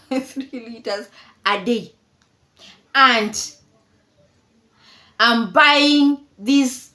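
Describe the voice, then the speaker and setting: A woman's voice: on the high side, sharp tone. A woman in her fifties from Kenya giving casual, mocking commentary, laughing often and repeating herself. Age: 50s. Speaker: commentary vlogger.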